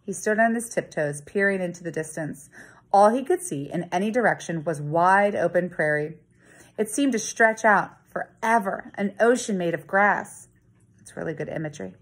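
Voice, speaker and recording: A woman in her thirties, a teacher, reading a children's story aloud in a dramatic, expressive storytelling manner with deliberate emphasis. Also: lowish voice. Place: living room at home. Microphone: phone or tablet at arm's length.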